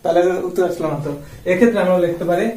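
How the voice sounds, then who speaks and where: A man's voice: high voice, racing, dark tone, a little hiss or hum. A man explains like a classroom teacher. He asks questions and then answers them himself. The sound is echoey and picked up at a distance from the microphone. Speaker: English grammar teacher. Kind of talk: classroom-style lesson explanation. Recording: roomy echo, distant microphone.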